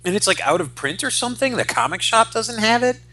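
A man's voice: sits high, animated, brisk, bright, forceful tone. A man in his forties co-hosting a podcast, chatting casually and enthusiastically about comic books, swearing as he explains things. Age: 40s. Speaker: podcast co-host.

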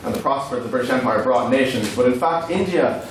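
A man whose first language is Irish, speaking in a declamatory, rhetorical way as he argues a debate motion. He is picked up from across a big hall, so his voice echoes heavily. Steady hiss sits under him. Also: mid-range voice, fairly quick. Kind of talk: formal debate speech. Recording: echoey hall, distant mic, noisy.

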